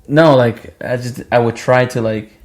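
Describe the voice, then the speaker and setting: A man's voice: mid-range voice, steady tone, moderate pace, a little mellow. A man speaking English as a second language, a podcast host who banters casually in slang and reads scary stories aloud. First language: not English. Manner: slangy, says dude and bro often.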